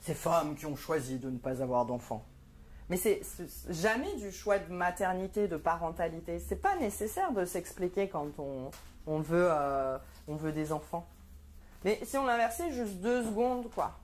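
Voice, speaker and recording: A woman's voice: lowish voice, lively intonation, moderate pace, a little mellow, slight background noise. A woman in her thirties performing a stand-up comedy monologue, wry and sardonic, with frequent hesitant fillers. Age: 30s.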